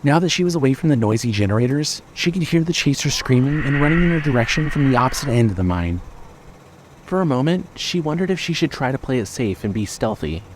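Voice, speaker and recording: A man reading scripted story narration in a measured, expressive, dramatic way. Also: medium pitch, a little hiss or hum.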